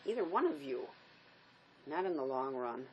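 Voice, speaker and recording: A woman's voice: extremely low pitch, very animated, normal speed, dull audio. An actress playing a wounded, despairing woman drifter in a drama, emotional, by turns pleading and defiant. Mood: sad, needy. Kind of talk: dramatic script performance. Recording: faint background noise.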